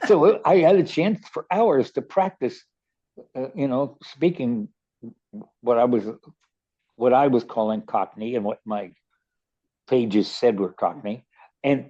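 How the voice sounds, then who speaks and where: An older man with a Baltimore accent tells stories casually on the radio, restarting and repeating words as he goes. His voice is dark and mellow, and the recording sounds a little dull and lo-fi. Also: mid-range voice, husky. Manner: rambling, many restarts and stammered repeats. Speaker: radio show host. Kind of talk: casual storytelling chat.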